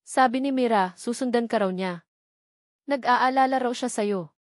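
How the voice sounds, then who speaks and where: A woman's voice: a little high, normal speed, no room sound, clean studio sound, voice pushed up, lightly acted. A woman voicing a worried aunt in an audio drama, speaking anxiously with a trembling voice.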